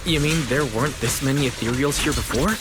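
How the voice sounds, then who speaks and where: A man playing a calm, observant, dryly skeptical young man in an anime-style video game. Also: medium pitch, brisk, loud music behind the voice, close to an everyday voice. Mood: puzzled.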